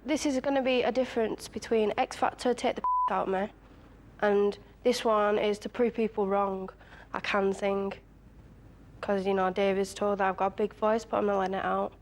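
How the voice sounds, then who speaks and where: A woman's voice: mid-range voice, steady tone, normal speed. A woman training as a singer, speaking in a defiant, determined way. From United Kingdom. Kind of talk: reality TV interview.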